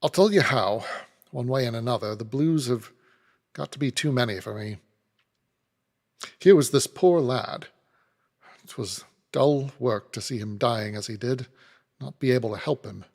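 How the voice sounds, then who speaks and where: A man voicing a big, gruff old trooper who speaks in an earnest, loud voice. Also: medium pitch, unhurried, pauses often. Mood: troubled. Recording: reverberant room.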